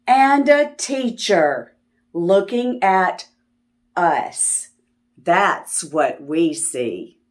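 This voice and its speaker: Woman reading aloud, slow and very lively. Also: fairly low pitch. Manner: sing-song, repetitive phrasing addressed to young children; teacherly, asking questions and affirming answers. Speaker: host of children's read-aloud videos. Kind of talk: children's picture book read-aloud.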